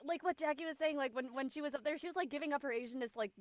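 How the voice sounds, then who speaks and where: A woman's voice: high-pitched, racing, dry recording. A woman chatting casually on a panel, phrasing her thoughts loosely with many filler likes. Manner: conversational, heavy use of "like". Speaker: panelist in a post-show cast discussion. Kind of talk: casual chat.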